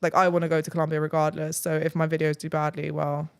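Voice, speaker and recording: A woman's voice: low-pitched, completely flat delivery, fast, smooth. A young woman from England chatting casually as a podcast guest, rambling with lots of fillers and easy laughter. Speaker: travel vlogger guest.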